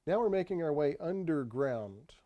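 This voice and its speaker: A man giving an unscripted tour voice-over, explaining sights in a halting way and often repeating his phrases. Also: on the high side, animated, highs cut off. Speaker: tour narrator. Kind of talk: unscripted travel video voice-over.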